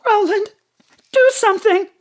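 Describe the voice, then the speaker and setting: A man voicing a shrill, indignant president's wife in an audio drama. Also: unhurried. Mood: shrill outrage.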